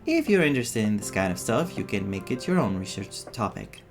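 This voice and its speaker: A man streaming a story game, reading dialogue aloud and adding his own expressive reactions. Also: on the low side, fairly quick, creaky. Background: game music.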